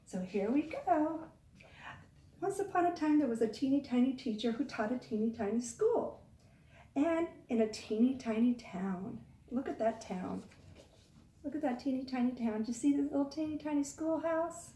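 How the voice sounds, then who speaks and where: A woman reading a picture book aloud to young children, expressive, pausing to ask the listeners questions. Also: high-pitched, slight background noise. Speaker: children's storytime reader. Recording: echoey room, far from mic.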